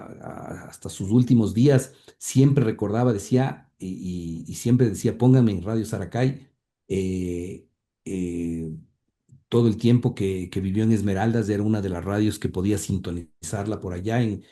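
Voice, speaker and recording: An Ecuadorian man reminiscing as an interview guest, halting and repeating words as he recalls. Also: on the low side, dark tone.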